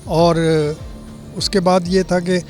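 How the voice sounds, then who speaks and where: An elderly man in his eighties tells his memories in an unhurried, homely way. His voice sits fairly high for a man. Soft music plays faintly under the interview. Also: monotone. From born in Delhi, lives in Lahore. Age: 80s. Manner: unhurried storytelling, plain homely detail. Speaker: interviewee recalling 1947 migration. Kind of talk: reminiscing eyewitness account.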